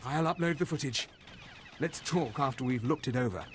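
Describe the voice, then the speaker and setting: A man's voice: mid-range voice, moderate pace, close to an everyday voice. A man playing a worried, exasperated scientist in a video game, grave and pointed. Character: worried, exasperated male professor of xenozoology. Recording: noisy game ambience.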